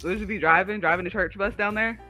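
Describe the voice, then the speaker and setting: A man's voice: sits high, fast talker, pushed and bright, soft background music. A man co-hosting a livestream, chatting casually in a rambling, digressive way. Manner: chatty, digressive, talks to live viewers. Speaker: livestream co-host.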